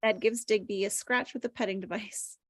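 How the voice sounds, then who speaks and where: A woman's voice: medium pitch, fairly even intonation, fairly quick, dry recording. A woman reading stage directions as scripted narration at a table read.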